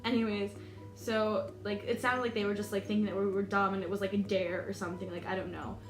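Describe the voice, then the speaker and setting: A woman's voice: mid-range voice, level tone, fast. Brief: American woman, 20, vlogger, rambling nervous-laugh delivery; echoey room, music bed. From United States. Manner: chatty, many "like"s and "um"s, laughs nervously. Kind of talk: storytime vlog.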